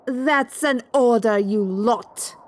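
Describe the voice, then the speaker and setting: A woman playing a gruff, curt mercenary pony mare who gives orders in an audio drama. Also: fairly high pitch, slow pace. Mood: barking. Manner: commanding, curt.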